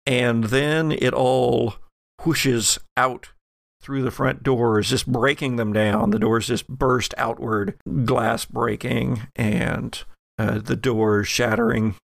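A man acting as game master, narrating an improvised horror tale in a measured way with dramatic pauses. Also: mid-range voice, steady tone, gravelly, no room sound.

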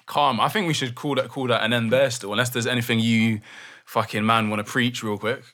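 A young man, hosting a casual podcast. He talks quickly in a bright, forceful voice and presses his guests with questions. He stumbles over repeated words as he works out what to ask. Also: medium pitch, fairly even intonation. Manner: probing questioner, repeats words while thinking. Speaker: podcast host.

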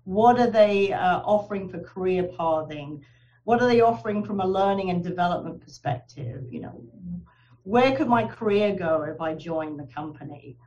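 A woman's voice: fairly low pitch, lively intonation, normal speed, warm tone, far from the mic, muffled recording. A woman from southern England, a company HR director, talking earnestly in a conversational interview with frequent fillers and restarts. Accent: southern English. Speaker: HR director, podcast guest.

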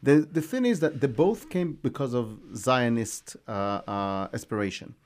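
A man from Israel speaks as a studio guest. His voice sits at an ordinary middle pitch, and he answers in a measured, conversational way. He often pauses and restarts his sentences. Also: a little mellow. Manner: measured, with frequent fillers and restarts.

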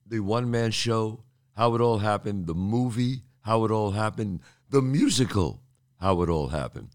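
An older man hosting his show, talking casually and streetwise with a cold in his throat. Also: lowish voice. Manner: streetwise, chatty, repeats himself. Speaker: podcast host. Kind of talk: casual monologue to listeners.

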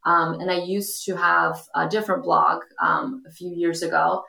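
A woman's voice: fairly low pitch, flat delivery, normal speed, gravelly, sharp tone. A young adult woman from Portugal, a podcast guest talking casually and filling her speech with ums and you-knows. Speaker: guest, influencer. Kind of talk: casual interview talk. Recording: echoey room.

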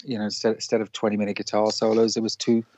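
A man from Wales, a musician guest, answering in a halting way with many restarts. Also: on the low side, completely flat delivery, darkish tone, no room sound. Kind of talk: casual interview chat. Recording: dull dry lo-fi line.

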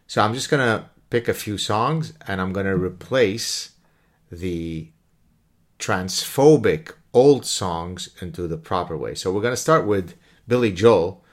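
Man, middle-aged, from Canada, low voice, casual channel host, mocking tone, off-key sung bits. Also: measured pace. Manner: mocking, sings lyric snippets off-key. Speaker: commentator hosting his own channel. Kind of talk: casual satirical monologue.

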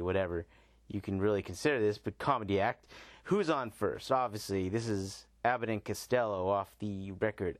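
A man's voice: fairly low pitch, dry recording. A man hosting a podcast, talking in a loose, informal, slangy way as he rambles casually. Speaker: podcast host.

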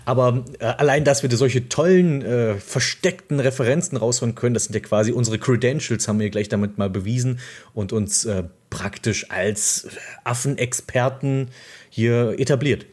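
A man hosting a gaming podcast, talking casually and playfully, his voice croaky from a cold. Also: mid-range voice, moderate pace, darkish tone. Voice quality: husky. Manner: chatty, playful, many fillers. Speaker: podcast host.

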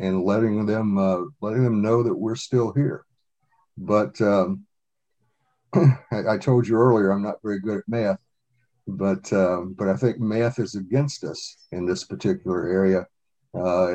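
An American man with a fairly low, mellow voice. He is a guest in an interview and gives his answers conversationally, in a measured, halting manner. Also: low-quality recording.

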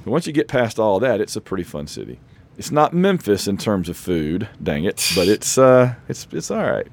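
A man's voice: on the low side, sing-song, moderate pace, gravelly, darkish tone. A man hosting a sports podcast, his voice scratchy from losing it, rambling casually. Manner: rambling, self-deprecating. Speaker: podcast host.

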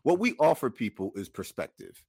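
A man co-hosting a podcast in casual discussion, making his points deliberately and repeating them for emphasis. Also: mid-range voice, big pitch swings, creaky, darkish tone, slightly rough, no room sound, clean studio sound. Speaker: podcast co-host.

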